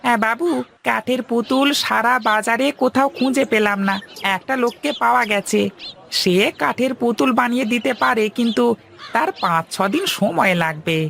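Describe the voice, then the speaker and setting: Casting: woman playing a sly, obedient cartoon manservant, high voice with gravelly creak. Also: normal speed, fairly bright tone, slight background noise. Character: servant, male, obedient to master, sly and bullying. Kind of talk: cartoon voice acting.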